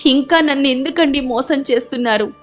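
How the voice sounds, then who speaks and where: Woman playing grieving mother, high voice, plaintive, tearful, dramatic story dialogue. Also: fairly quick, faint music behind, lightly acted. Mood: tearful, betrayed. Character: grieving, tearful mother longing for her daughter.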